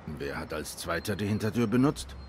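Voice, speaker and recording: A cool private eye from a noir game. His voice is on the low side, measured and courteous, over faint background noise. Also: lively intonation, a little mellow. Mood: curious. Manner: measured, polite questioning. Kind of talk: noir video game dialogue.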